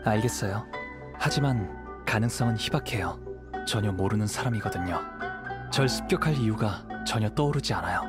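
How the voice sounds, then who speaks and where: An actor playing a calm, polite young wandering samurai in a video game, speaking in a courteous, thoughtful way. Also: male voice, fairly low pitch, moderate pace, natural voice. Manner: courteous, composed, explanatory. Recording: clear background music.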